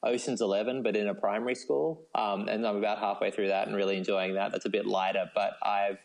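Australian man, interview guest. Low voice, informal, self-deprecating, easygoing delivery. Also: fairly even intonation, fast, gravelly, low-quality recording. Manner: laid-back, self-deprecating. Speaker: guest, novelist. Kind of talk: casual interview chat.

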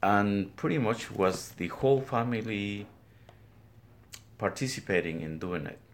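Slow, deep-voiced older Guatemalan man, reflective. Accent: Guatemalan Spanish. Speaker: weaver, interview guest. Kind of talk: interview, recounting his life. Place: weaving studio, indoors.

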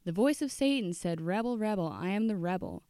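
A woman's voice: medium pitch, animated, fairly quick, mellow, no echo, studio quality, natural voice. Woman putting on a theatrical radio call-in host.

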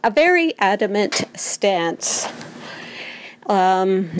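A woman records a spoken message for podcast listeners. Her pitch is average and her pace is slow. She measures out her sentences, pausing partway through them. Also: big pitch swings, a little hiss or hum, rough recording. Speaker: comedy writer, guest contributor.

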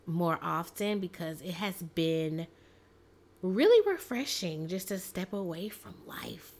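A woman with a raspy, hoarse voice from a cold, chatting as a podcast co-host and telling stories in a lively, filler-heavy way. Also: lowish voice, slow pace. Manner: chatty, animated storytelling with many fillers. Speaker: teacher. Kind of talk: casual chat.

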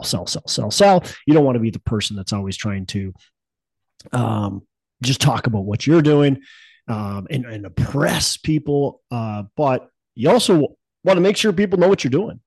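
A man's voice: medium pitch, animated, normal speed, a little mellow, breathy edge, no room sound, poor audio. Stuffy-nosed male podcast host, dry sound. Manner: rambling, with many fillers and restarts. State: stuffy nose and cough. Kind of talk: casual conversational chat.

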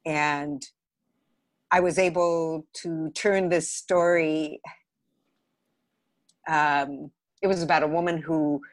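A woman's voice: low voice, no echo. A woman with an American accent, a podcast guest and theatre director chatting casually about her work. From Los Angeles area, United States. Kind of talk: casual interview, telling career stories.

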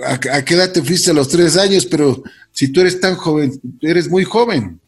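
A man's voice: a little high, normal speed, breathy edge. A man hosting an interview, introducing his guest with effusive praise and repeated phrases. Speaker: host.

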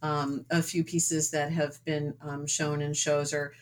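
Low-voiced woman, hesitant and thoughtful. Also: flat delivery, measured pace. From suburbs of New York City. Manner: hesitant, many ums and uhs. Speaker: guest, painter and physician. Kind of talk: casual interview chat.

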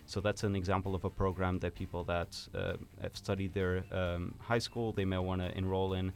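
A man's voice: low voice, level tone, moderate pace, a little mellow. A young man from Portugal, a student guest on a radio interview, explaining things earnestly with some hesitation.

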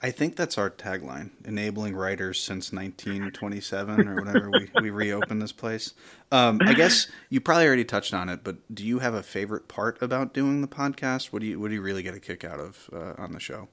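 A man's voice: fairly low pitch, big pitch swings, brisk, fairly bright tone. A man hosting a radio show and interviewing a guest in a casual, conversational style.

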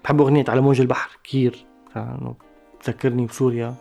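A man with a Syrian Arabic accent, a musician giving a thoughtful interview, pausing and restarting mid-phrase. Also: mid-range voice, little pitch movement, gravelly, music in the background. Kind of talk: reflective interview talk.

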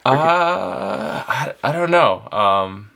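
A man in his twenties from Ethiopia, chatting casually as an interview guest in a halting way with many filler words. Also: mid-range voice, animated, gravelly, bright, forceful tone, slight background noise. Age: 20s. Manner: halting, hesitant delivery with frequent filler words. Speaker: elite runner, interview guest. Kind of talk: casual chat.

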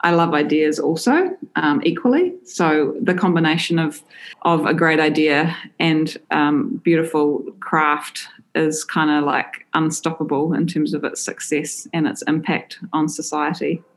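Woman, New Zealand accent, very low voice, enthusiastic, casual, many fillers. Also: unhurried. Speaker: interview guest, creative director. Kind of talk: casual interview answers.

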